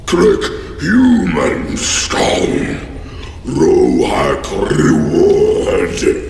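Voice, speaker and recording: A man voicing a savage game monster in a gravelly croak, with halting broken grammar and barking outbursts. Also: very deep voice, huge pitch swings, exaggerated voice. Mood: greedy, pleading. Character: savage skull-collecting monster speaking broken language. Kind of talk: video game character acting. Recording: echoey, noisy game audio.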